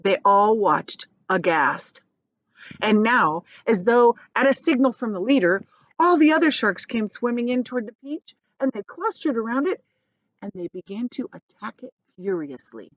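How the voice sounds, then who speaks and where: A woman reads a children's storybook in an expressive, teacherly way. Her voice sits a bit high and moves around a lot. The recording is close and slightly dull. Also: normal speed, no room sound. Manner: explanatory asides to listeners. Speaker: teacher reading a book aloud.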